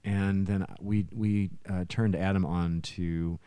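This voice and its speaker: A deep male voice, captured close and dry. He hosts a casual podcast and talks in a chatty, anecdotal way with frequent restarts. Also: fairly even intonation, takes it slowly, mellow, clear, resonant tone, dry recording. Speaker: podcast host and musician.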